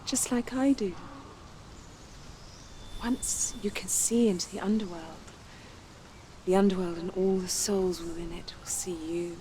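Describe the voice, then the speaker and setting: Actress as spectral mother seer, game: gentle, breathy, lowish, very unhurried. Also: fairly even intonation, a little hiss or hum, close to an everyday voice. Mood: soothing, eerie. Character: ghostly mother priestess, gentle, guiding seer.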